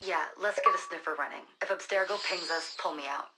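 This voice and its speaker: An actress playing a secretive hacker guide in a video game, briefing the listener in a brisk, matter-of-fact, confiding way. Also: female voice, lowish voice, very noisy, natural voice. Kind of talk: scripted video game dialogue.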